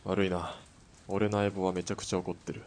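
An actor playing a calm killer in an audio drama, laconic and dry-witted. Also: male voice, low-pitched, fairly quick, slight background noise. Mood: coolly threatening. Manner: laconic, dry, matter-of-fact threats.

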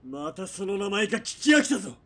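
A man's voice: high voice, sing-song, fairly quick, fairly bright tone, heavily acted. A man playing a bullying, hot-tempered military police commander in a radio drama, barking threats. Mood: threatening.

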